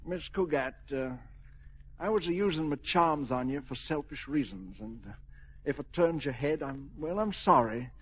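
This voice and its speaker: Eccentric old man, raspy high voice, folksy storyteller, hesitant, muffled old-time radio sitcom. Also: expressive, moderate pace. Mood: sheepish. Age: older. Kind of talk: 1940s radio sitcom acting. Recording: dull old radio recording.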